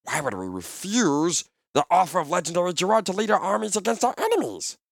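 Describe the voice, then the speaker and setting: A man's voice: high voice, very animated, a little fast, vocal fry, dry recording, studio quality, voice pushed up, heavily acted. A man voicing a sly, mocking little goblin with oily, fawning, questioning speech in a fantasy audiobook. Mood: greedy, wheedling. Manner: obsequious flowery speech, all in questions.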